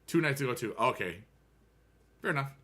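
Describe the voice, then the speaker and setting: A man's voice: mid-range voice, animated, fairly quick, fairly bright tone. An American man in his thirties chatting casually with viewers on a game stream, rambling and upbeat with playful rhymes.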